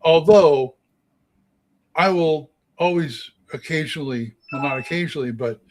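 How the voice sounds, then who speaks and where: Halting man in his sixties. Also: on the high side, a little hiss or hum, poor audio. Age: 60s. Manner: halting, repeats his words. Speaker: game master, co-host. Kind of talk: casual chat.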